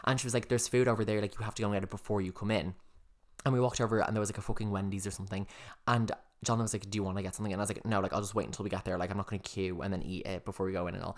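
Fast-talking young Irish man. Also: lowish voice, steady tone. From Ireland. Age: young adult. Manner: chatty, swears a lot, lots of "like". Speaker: podcast co-host. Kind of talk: casual storytelling chat.

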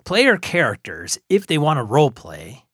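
A man with a North American accent and a fairly high, very lively voice. He is a blunt, slangy podcast co-host in casual discussion, captured dry and close. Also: normal speed, slightly rough, no echo.

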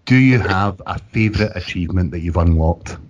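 Guy co-hosting, husky lowish voice, casual banter, bit muffled lo-fi sound. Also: fairly quick. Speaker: podcast co-host.

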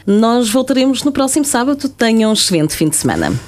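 A woman's voice: fairly high pitch, sing-song, fast talker. A woman co-hosting a radio show in a studio, adding short remarks and formal thanks. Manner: polite, formal sign-offs and short remarks.

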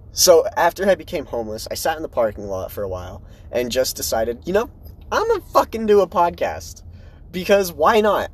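A young man in his early twenties talks through his own podcast in loose, run-on sentences. His voice sits at an ordinary middle pitch, and his delivery is frank and unpolished, jumping between thoughts. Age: 20s. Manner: rambling, candid, animated swings in pitch. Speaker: podcast host. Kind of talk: casual solo podcast monologue.

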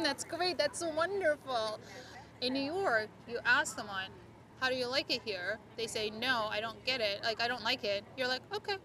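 A woman's voice: sits high, pushed and bright, poor audio. A woman from Turkey co-hosting a podcast, chatting casually outdoors at a city restaurant and filling her talk with "like.". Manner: chatty, lots of "like" fillers. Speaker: podcast co-host. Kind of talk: casual chat. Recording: busy street crowd noise behind. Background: city street crowd and traffic.

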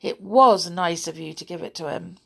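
A woman's voice: lowish voice, moderate pace, vocal fry, a bit bright, husky, heavily acted. A woman voicing a sensible young girl in a children's story, firm but kindly.

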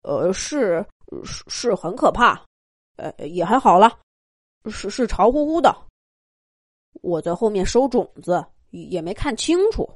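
A woman's voice: medium pitch, expressive, unhurried, dry recording, studio quality. Woman as a stammering, timid young boy rabbit. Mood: nervous, evasive. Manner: mumbling, stammering, hesitant, vague. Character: timid evasive young male grey rabbit, nervous and shifty.

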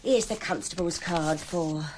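A woman's voice: lowish voice, a little slow, background noise, close to an everyday voice. An actress playing a sly, teasing English charlady with a working-class accent, wry, matey and cajoling, in radio comedy style. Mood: sly, coaxing. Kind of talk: British radio comedy acting.

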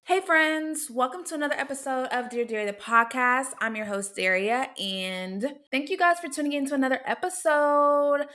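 Girl in her twenties, chatty podcast host, bright voice, kinda high. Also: normal speed, few pauses, faint music behind. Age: 20s. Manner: chatty and upbeat, laughs often. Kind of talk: casual solo podcast chat.

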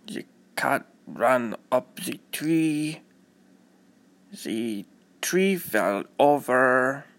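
Man acting a boy reading very slowly aloud. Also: medium pitch, gravelly, a bit bright, heavily acted. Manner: slow, deliberate, word-by-word reading. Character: young boy carefully reading aloud simple English sentences.

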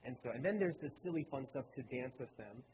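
A man's voice: mid-range voice, animated, fast talker, darkish tone. A man in his late twenties from Baltimore, giving a personal talk to a church in an earnest, conversational storytelling way. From Baltimore, Maryland. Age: late 20s. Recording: reverberant hall with clear room noise.

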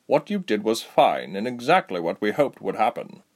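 A man voicing a calm, encouraging male elf soldier in a fantasy storybook. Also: fairly low pitch, moderate pace. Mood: reassuring.

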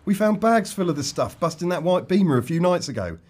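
Actor doing a sarky teasing cop, slightly high voice, very animated pitch, comedy audio drama. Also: male voice, a little fast, husky, exaggerated voice. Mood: wry. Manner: dry, sarcastic, teasing. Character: wry, teasing policeman, slacking senior partner.

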